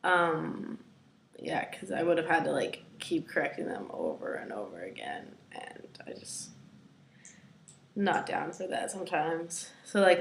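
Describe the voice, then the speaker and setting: A young adult woman with a raspy voice, talking casually to camera in a hesitant, rambling way at home, with many fillers and moments that drop to a near whisper. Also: low voice, a little hiss or hum. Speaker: vlogger. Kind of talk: casual personal video diary. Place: at home, indoors. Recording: roomy, echoey room.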